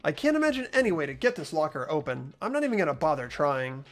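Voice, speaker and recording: Amateur acting a hesitant schoolboy, earnest, lively intonation, thinking aloud, visual novel game, faint music behind. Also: mid-range voice, fast talker, natural voice. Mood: puzzled. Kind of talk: visual novel voice-over reading.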